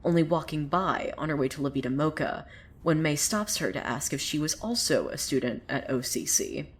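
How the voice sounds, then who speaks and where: A low-pitched actress as a female narrator spins a small-town tale for an audio drama. She is sardonic and opinionated, chatting to the listener as if passing on gossip. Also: lively intonation, moderate pace, a little hiss or hum. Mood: wry, suspenseful. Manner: sardonic, conversational, swearing asides. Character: opinionated, sardonic female storyteller who gossips about townsfolk. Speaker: narrator of a fiction podcast. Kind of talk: dramatic first-person storytelling.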